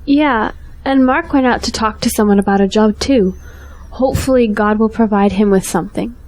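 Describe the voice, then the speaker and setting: A woman's voice: fairly high pitch, normal speed, a little mellow, close to an everyday voice, low-quality recording. Woman playing a hopeful, considerate believer. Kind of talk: audio drama acting.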